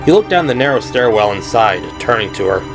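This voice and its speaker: A man's voice: fairly low pitch, big pitch swings, a little fast. A man reading dramatic, scripted horror narration for an audio drama, with music and effects behind him. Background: music and sound effects.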